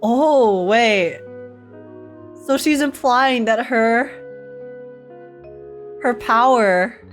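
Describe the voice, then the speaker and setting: A woman streamer giving casual live reactions to a game, chatty and giggly, thinking out loud. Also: on the high side, extremely slow, faint music behind.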